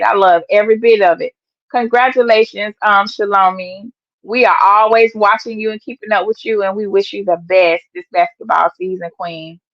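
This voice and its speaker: A slightly hoarse woman hosts a casual news livestream and chats to her viewers. She talks emphatically and often says her points twice. The sound is dull and lo-fi, close and dry. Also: mid-range voice, no room sound. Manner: chatty, repeats her points, emphatic exclamations. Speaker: host of an online news show.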